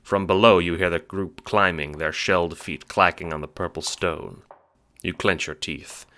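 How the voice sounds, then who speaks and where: A man's voice: low-pitched, steady tone, normal speed, pushed and bright. A man narrating a scripted audio drama in second person, with a wry, dramatic storytelling manner.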